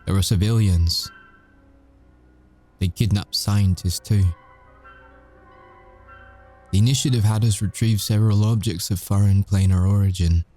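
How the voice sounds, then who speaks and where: A man playing a weary, confessing werewolf ex-agent in an intimate audio roleplay, speaking haltingly with deliberate pauses. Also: fairly low pitch, fairly even intonation, dark tone, soft background music, close to an everyday voice. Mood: wry, guarded.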